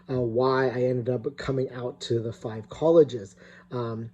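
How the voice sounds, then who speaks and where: A man's voice: medium pitch, mellow, husky, muffled recording. A middle-aged man from California giving a recorded opening talk as guest speaker, hesitant with many fillers and restarts. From California, United States.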